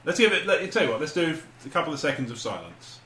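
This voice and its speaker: A man's voice: on the high side, big pitch swings, fast talker, pushed and bright, a little hiss or hum. A man hosting a casual comedy podcast, joking, teasing and swearing freely among friends. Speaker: podcast host. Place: indoor room with several people, rain outside. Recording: roomy echo, other voices around.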